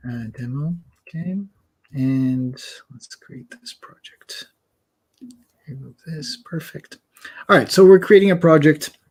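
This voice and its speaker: A man hosting a live online session, chatting casually and self-deprecatingly as he demos translation software. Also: medium pitch. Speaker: host demoing translation software. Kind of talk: casual live demo talk.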